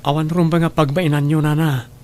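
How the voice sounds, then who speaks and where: A male actor plays an earnest grown son in an audio drama, speaking in a fairly high male voice with an Ilocano Filipino accent. He sounds emotionally invested, as one who pleads with family. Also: flat delivery, brisk, a little mellow, lightly acted. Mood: earnest, reassuring. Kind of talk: Ilocano radio drama acting.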